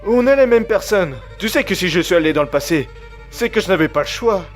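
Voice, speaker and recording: A man acts out an unstable, desperate husband from an audio drama. His pitch jumps around a lot as he stammers, pleads and defends himself, with music behind the voice. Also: expressive, fairly quick. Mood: indignant, desperate. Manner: stammering, agitated, breaks off phrases. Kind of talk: amateur audio drama acting.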